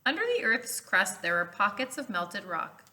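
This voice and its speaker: Bright-voiced woman reading to children. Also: mid-range voice. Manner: expressive, instructive, pausing to explain words. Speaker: teacher reading aloud to children. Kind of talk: animated children's picture book read-aloud with explanations.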